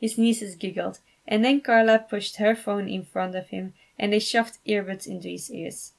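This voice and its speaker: A woman's voice: mid-range voice, steady tone, moderate pace, gravelly. A woman reading scripted fan fiction aloud as its narrator.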